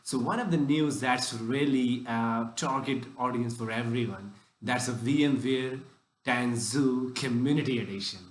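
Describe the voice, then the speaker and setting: A man's voice: mid-range voice, measured pace, reverberant, slight background noise, low-quality recording. A man hosting a tech podcast who asks loose, rambling questions with broken phrasing and frequent restarts. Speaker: podcast host. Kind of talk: casual interview questions.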